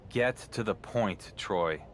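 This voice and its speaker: An actor playing a confident, cocky young wrestling champion in a video game cutscene. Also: male voice, lowish voice, slow pace, fairly bright tone, a little hiss or hum. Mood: annoyed.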